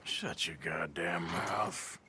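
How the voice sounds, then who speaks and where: An actor playing a gruff, blunt middle-aged outlaw in a video game cutscene, sarcastic and plain-spoken. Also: male voice, sits low, steady tone, slow, heavy background noise. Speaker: outlaw gang member.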